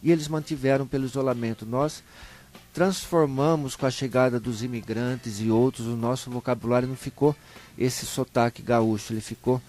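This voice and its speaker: A man with a São Paulo countryside Brazilian accent, telling stories in a colloquial, animated way as a guest in a live interview. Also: medium pitch, moderate pace. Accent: Brazilian Portuguese, São Paulo interior. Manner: animated storyteller, acts out short dialogues, colloquial. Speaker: interview guest, local historian.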